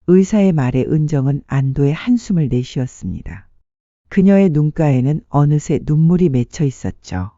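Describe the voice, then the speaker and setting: A narrator reading a dramatic story in a measured, sentimental storytelling manner. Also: mid-range voice, lively intonation, warm tone, no echo, highs cut off. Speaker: audiobook narrator.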